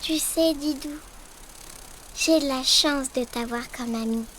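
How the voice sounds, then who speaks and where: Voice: high voice, a little slow, a little hiss or hum. An actor voicing a small, cute, childlike ladybird, a cheerful best friend in a preschool cartoon. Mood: warm, helpful. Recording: loud music behind the voice.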